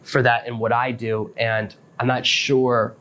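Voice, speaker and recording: A man's voice: mid-range voice, flat delivery, a little slow, a bit bright, low-quality recording. A man hosting a podcast, explaining things step by step in a casual, matter-of-fact advice monologue. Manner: practical, matter-of-fact, self-employed author explaining step by step. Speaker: podcast host.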